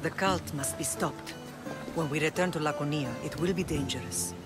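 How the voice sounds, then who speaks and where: A woman playing a solemn, measured middle-aged Spartan mother in a video game, warm toward her son. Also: low-pitched, close to an everyday voice. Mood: grave. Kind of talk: dramatic video game acting. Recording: loud music behind.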